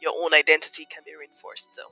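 A woman from Nigeria giving thoughtful interview answers as a guest, measured and reflective with fillers. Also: sits low, completely flat delivery, bright, forceful tone, muffled recording, soft background music. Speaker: guest economist.

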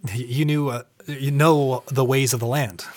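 A man acting a well-meaning but self-satisfied husband in an audio drama, earnest and condescending, halting when challenged. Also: medium pitch, steady tone, darkish tone, close to an everyday voice. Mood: defensive.

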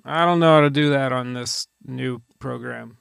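A man's voice: medium pitch, moderate pace, gravelly, a bit bright, clear, resonant tone, dry recording, studio quality. A man hosting a casual podcast, bantering in a rambling, dryly joking way. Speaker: podcast host.

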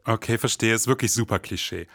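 A man's voice: fairly low pitch, brisk, vocal fry, a bit bright, no room sound, clean studio sound. A man co-hosting a podcast in scripted comic dialogue and interviews, with a conciliatory, eager manner. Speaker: podcast co-host.

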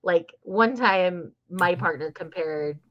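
A woman co-hosting a casual book podcast, talking with enthusiasm, laughing at herself and trailing off softly at the ends of thoughts. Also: fairly low pitch, takes it slowly. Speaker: podcast co-host. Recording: slightly dull lo-fi sound.

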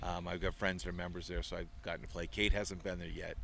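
Low-voiced middle-aged man, chatty. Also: level tone, fast talker, gravelly, sharp tone, slight background noise. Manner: chatty, rambling storyteller. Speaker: guest, avid golfer. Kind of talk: casual interview answers.

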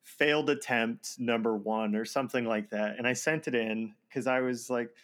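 A man, a voice actor guest in a casual conversation, self-deprecating and laughing often, with halting restarts. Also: mid-range voice, fairly even intonation, fairly bright tone.

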